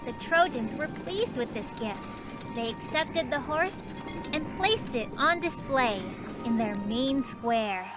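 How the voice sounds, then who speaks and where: A woman voicing a patient, knowing young girl who tells stories in an explanatory way in an animated children's series. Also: sits high, takes it slowly. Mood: dramatic storytelling. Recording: music behind the voice.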